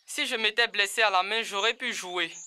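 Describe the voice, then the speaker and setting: A woman's voice: medium pitch, normal speed, heavy background noise, close to an everyday voice. A woman voicing a sulky young boy footballer in a children's cartoon, childlike and plaintive.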